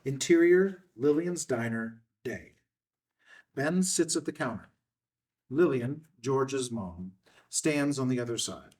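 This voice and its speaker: A man's voice: mid-range voice, animated, takes it slowly, darkish tone. A man reading a film script's stage directions as narrator, in a matter-of-fact way.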